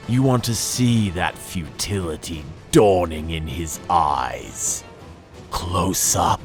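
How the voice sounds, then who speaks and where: A man narrates dark fiction in a very deep voice. His delivery is slow, brooding and theatrical, with deliberate phrasing. Music plays behind the reading. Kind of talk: dramatic second-person audiobook narration. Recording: voice over background music. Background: dramatic music.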